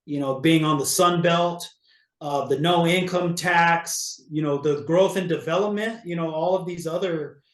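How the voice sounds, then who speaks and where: A man's voice: fairly high pitch, measured pace, reverberant. A man from Hawaii, a real estate agent, talking casually as an interview guest, with many fillers and restarts.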